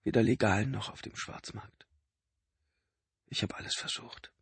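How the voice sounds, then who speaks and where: A man's voice: fairly low pitch, expressive, moderate pace, slightly rough, dry recording, pitched above the performer's own voice. A man voicing an exhausted adult man who pleads urgently and breathlessly with his brother. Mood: urgent pleading.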